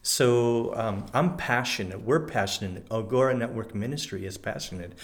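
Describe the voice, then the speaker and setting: Guest: middle-aged man, French Canadian-sounding accent, halting aphasic delivery, candid testimony with frequent pauses and fillers. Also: mid-range voice. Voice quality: halting aphasic speech after a stroke. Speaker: guest, former pastor. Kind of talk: personal testimony in an interview.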